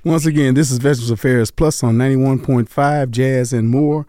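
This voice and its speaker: An older man hosting a veterans' community radio show, chatting in a relaxed, easygoing way and laughing easily. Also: medium pitch, normal speed, no room sound, studio quality. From Las Vegas. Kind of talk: casual radio interview.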